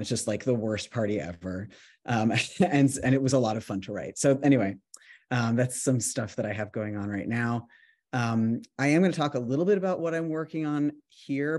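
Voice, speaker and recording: A man from New York City giving a casual talk about his writing over a video call, relaxed and full of ums and restarts. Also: medium pitch. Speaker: author giving a resident talk.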